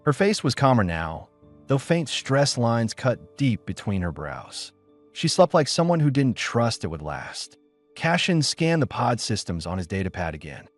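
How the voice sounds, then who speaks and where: A man's voice: medium pitch, very animated, measured pace. A man narrating a scripted story as an audiobook, with music behind him.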